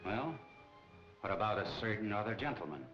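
Low-voiced, bossy nightclub racketeer. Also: male voice, sing-song, brisk. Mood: menacing. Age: middle-aged. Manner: curt, threatening, slangy. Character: hard, bossy middle-aged nightclub racketeer. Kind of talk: 1940s crime film acting. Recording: dull 1940 film soundtrack, music behind.

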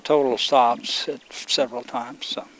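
Older male vlogger, hoarse and fading voice, relaxed rambling, handheld camera outdoors, faint background noise. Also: mid-range voice, unhurried, poor audio. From Sweden. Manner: relaxed, rambling, talks to viewers while walking. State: losing his voice. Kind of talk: casual walking vlog. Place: outdoors on a rural road among rice fields. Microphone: handheld camera on a selfie stick.

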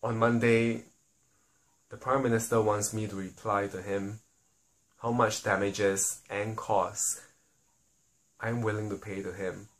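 A man from Singapore, making an earnest spoken appeal to the public, often slowing down and dropping quiet on key lines. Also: fairly low pitch, flat delivery, poor audio.

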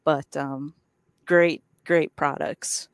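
A woman in her forties hosting a podcast, asking questions in a relaxed, encouraging way through a headset mic. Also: deep voice, drawn-out words, smooth, dry recording, clean studio sound. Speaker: podcast host. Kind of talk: casual interview. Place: indoor room.

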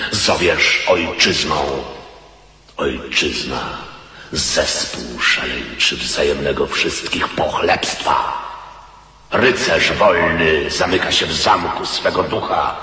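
An arrogant mercenary knight in a radio drama, with an extremely deep, forceful voice. He declaims with cold, commanding scorn, ringing through a long hall reverb. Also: a little hiss or hum, exaggerated voice. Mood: contemptuous. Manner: haughty, commanding, theatrical declamation.

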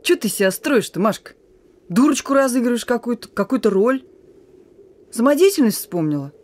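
A woman's voice: a little high, big pitch swings, normal speed, darkish tone. An actress playing a weary but defiant young woman messenger in a radio play, talking in a familiar, needling way. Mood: teasing. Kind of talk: Russian radio play acting. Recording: radio drama with music.

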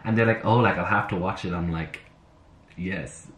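A slightly hoarse young man chatting excitably to camera in his bedroom, talking to himself as he reacts to music. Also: sits low, normal speed. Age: young adult. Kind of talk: casual reaction chat. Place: bedroom at home, indoors. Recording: roomy bedroom with faint music. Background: soundtrack music playing faintly.

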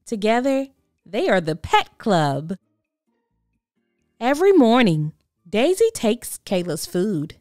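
A woman reading a children's picture book aloud in a slow, expressive, singsong way. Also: fairly low pitch, dry recording, clean studio sound. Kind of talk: storybook read-aloud.

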